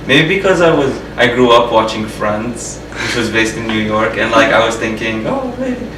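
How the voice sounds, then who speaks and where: Soft-spoken young man, distant roomy mic. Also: mid-range voice, very animated, normal speed. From Jordan. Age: 20s. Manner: soft-spoken, hesitant, many restarts and fillers. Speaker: interviewee. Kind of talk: personal interview. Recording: roomy sound from a distant mic with background hum.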